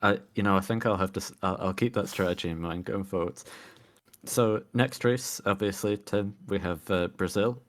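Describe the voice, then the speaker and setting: A man's voice: lowish voice, moderate pace. A man hosting an online racing league show, conducting joking post-race interviews over a voice call, often restarting his sentences. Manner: teasing, with many restarts.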